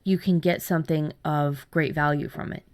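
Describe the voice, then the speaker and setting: Woman podcaster just chatting, lowish voice, animated storyteller, bit giggly, really dry sound. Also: normal speed, no echo. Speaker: podcast host and writer. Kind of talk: casual chat about story ideas.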